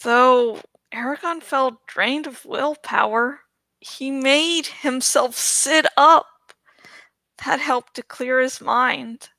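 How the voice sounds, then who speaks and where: A woman narrates a story from a script. Her voice is bright and a little high, and she reads very slowly and expressively, placing each phrase with care. The sound is dry and close. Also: no echo, rough recording. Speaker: audiobook reader.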